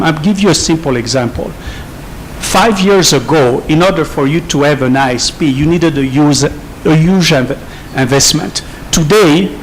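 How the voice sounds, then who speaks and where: A man giving unscripted remarks as a conference panel speaker, halting and often rephrasing mid-sentence. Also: on the high side, slight background noise, low-quality recording.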